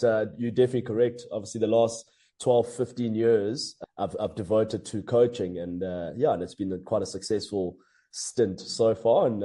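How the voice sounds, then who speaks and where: A man from Africa, a hockey coach giving earnest, measured interview answers. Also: on the low side, warm tone. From South Africa. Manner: earnest, measured, with frequent fillers and restarts.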